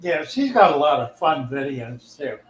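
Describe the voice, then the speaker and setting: A man's voice: on the high side, sing-song, normal speed, roomy sound, a little hiss or hum, low-quality recording. An older man hosting a livestream about fish keeping, talking in a folksy, easygoing way and reading viewer comments aloud. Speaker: livestream host, fish keeper. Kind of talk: casual livestream chat.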